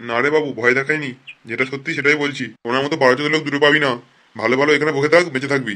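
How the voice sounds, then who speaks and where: A man's voice: medium pitch, steady tone, rapid-fire, sharp tone, lightly acted. A man voicing brash, bullying cartoon rogues, crooked cops and jailbirds, in crude hectoring slang. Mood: warning. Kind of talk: fast comic cartoon dialogue in colloquial Bengali slang.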